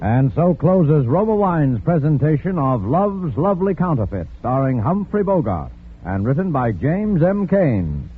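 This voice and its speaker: A man's voice: a little high, big pitch swings, unhurried. A man announcing formally in the style of 1940s radio. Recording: noisy, phone-like old radio recording.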